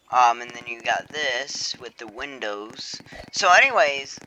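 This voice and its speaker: A man's voice: medium pitch, pushed and bright, a little hiss or hum, poor audio. A young man casually walking through his own game on a screen recording, rambling with fillers and self-corrections. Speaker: game creator showing his game. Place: home room.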